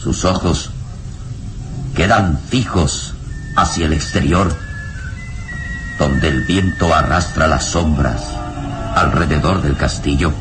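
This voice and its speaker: A man narrating a radio melodrama in suspenseful, measured phrases with dramatic emphasis. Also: a bass voice, poor audio. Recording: radio drama with music underneath.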